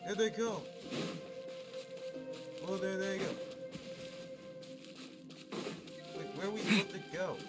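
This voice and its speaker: A woman gives casual gameplay commentary at a medium pitch. Her delivery is slow with lively pitch movement. Loud game music and sound play over her. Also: rough recording. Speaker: game streamer.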